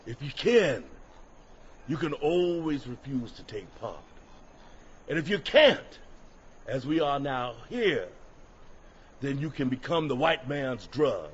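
An actor playing a fervent escaped slave who addresses a crowd in deliberate, emphatic oratory in an audio drama. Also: male voice, mid-range voice. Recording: muffled, slightly noisy audio drama recording.

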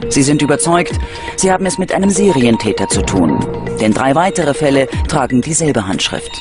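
A man delivering scripted true-crime documentary narration in a dramatic, measured, ominous manner. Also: medium pitch, sing-song. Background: background music.

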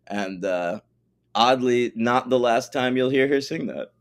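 A man's voice: mid-range voice, a little slow, smooth. A man co-hosting a podcast, bantering informally and conversationally about TV episodes. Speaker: podcast co-host.

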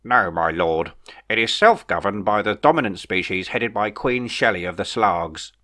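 A deferential royal advisor, put on by a male narrator, low-pitched and formal. The delivery is measured and careful, yet the intonation moves a good deal and the tone stays bright. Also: expressive.

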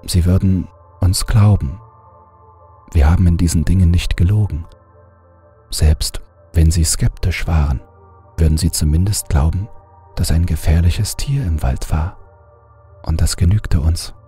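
A man reading a horror story aloud in a calm, measured first-person narration. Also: low-pitched, faint music behind.

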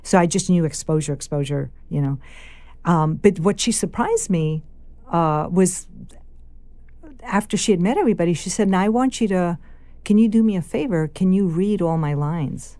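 A woman's voice: lowish voice, moderate pace. A woman with a New York accent, a filmmaker telling stories in a casual interview. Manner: animated storyteller, quoting others aloud. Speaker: filmmaker guest.

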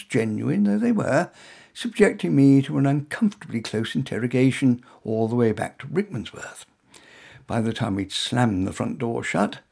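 Husky, lively English male storyteller. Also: medium pitch. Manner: wry, droll, conversational asides. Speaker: author reading own short story. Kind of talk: first-person humorous story narration.

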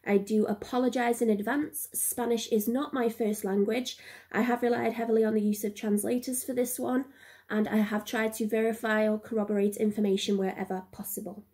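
A young adult woman hosting a missing-person series, reading scripted narration in a measured, earnest way. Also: a little high, little pitch movement, warm tone. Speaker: true-crime podcast host.

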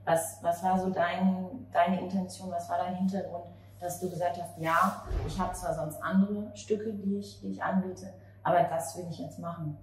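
A woman's voice: fairly low pitch, level tone, moderate pace, hardly any pauses. A woman in her forties interviews in a conversational way, speaking into a handheld mic. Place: outdoor café table on a street at night. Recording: very roomy with faint ambient noise.

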